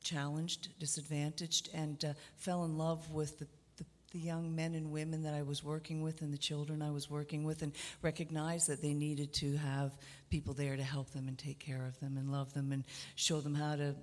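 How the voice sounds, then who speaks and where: Low-voiced Canadian woman, halting. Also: level tone, darkish tone. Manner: hesitant, many fillers and restarts. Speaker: guest musician. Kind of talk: radio interview.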